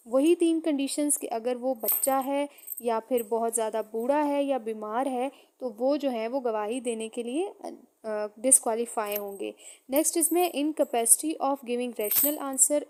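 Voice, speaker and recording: A woman's voice: sits high, warm tone. A woman with a Pakistani accent giving an explanatory law lecture in a didactic way, reading out points and explaining them, switching between two languages.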